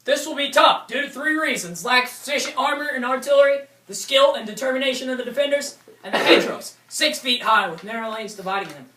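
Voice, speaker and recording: A man as an earnest, declamatory young-sounding soldier, bright and high, heard from the back rows of a war play. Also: lively intonation, fast, exaggerated voice. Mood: serious, briefing. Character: earnest American airborne soldier recounting battles as comrade. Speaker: actor in a war drama. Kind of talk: theatrical war narration. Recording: echoey room from far off, noisy.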